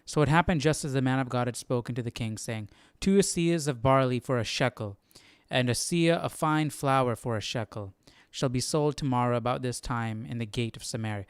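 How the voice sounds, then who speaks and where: A man reading aloud and commenting casually, thinking out loud in informal, slangy words. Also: mid-range voice, normal speed, few pauses, smooth, no room sound, clean studio sound. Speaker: host of a live Bible study stream.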